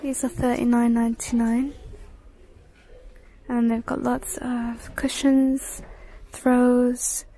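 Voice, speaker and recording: Mellow-voiced woman browsing a shop. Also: fairly high pitch, little pitch movement, slow pace, long pauses. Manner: chatty, reads out prices, sometimes drops to a whisper. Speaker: vlogger. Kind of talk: casual shop-with-me commentary. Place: inside a large home decor store. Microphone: handheld phone camera.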